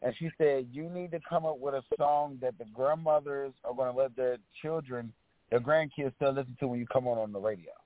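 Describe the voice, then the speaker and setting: A man with a raspy voice, a rapper guest, telling stories in a relaxed, casual way with lots of fillers. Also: mid-range voice, brisk, dry recording. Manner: relaxed storytelling with many fillers. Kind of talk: casual interview chat. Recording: tinny phone-line sound.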